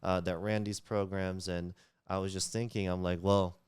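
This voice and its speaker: A man's voice: low voice, flat delivery, normal speed, a little mellow, smooth, dry recording. A man, a Tagalog speaker, talking earnestly as a guest in a casual interview, with many fillers and restarts. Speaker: community leader, guest.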